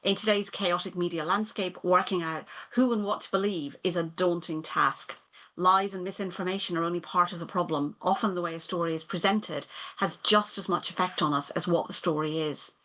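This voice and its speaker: A woman hosting an event, reading a measured, scripted introduction of the evening's speaker. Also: on the low side, fairly even intonation, a bit bright. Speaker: event host.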